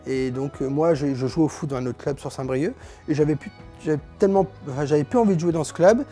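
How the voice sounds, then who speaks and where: A man's voice: on the high side, fast talker, warm tone, faint music behind. A man in his late forties giving a casual interview testimonial. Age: late 40s. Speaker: civil servant, former club player.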